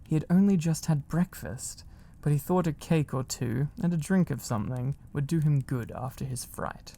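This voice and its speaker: A man reading a storybook aloud in a gentle, cosy, unhurried ASMR style. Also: a little high, gravelly. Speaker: ASMR narrator.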